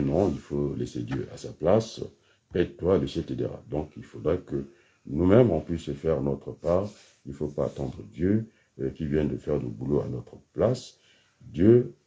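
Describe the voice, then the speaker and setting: A man giving a rambling political monologue, hesitant with many fillers, then bursting into emphatic high outbursts. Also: dark tone. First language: French. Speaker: political commentator.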